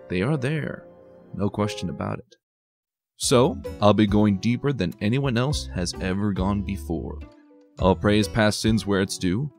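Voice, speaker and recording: A man presenting a scripted review in an expressive, emphatic way, with dramatic pauses. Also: fairly low pitch. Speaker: host, reviewer of fan fiction. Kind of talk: scripted video review commentary.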